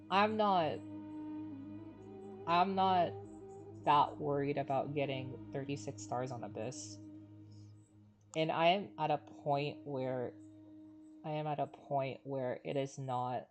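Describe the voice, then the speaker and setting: Woman, low pitch, game streamer, casual chat, reflective, rambling, halting, faint background music.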